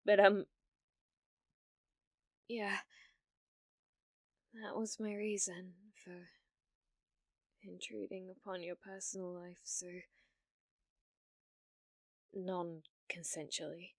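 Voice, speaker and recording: A British woman playing a desperate, delusional, lovesick streamer in an ASMR roleplay, pleading haltingly and slipping into breathy whispers. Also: lowish voice, little pitch movement, no echo, clean studio sound, pitched below the performer's own voice, full character voice. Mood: nervous, longing.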